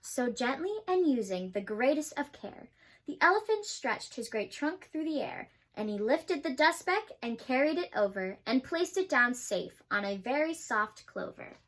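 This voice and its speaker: Young woman, children's storybook reader; high pitch, rhythmic and lively. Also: moderate pace. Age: young adult. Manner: rhythmic rhyming delivery.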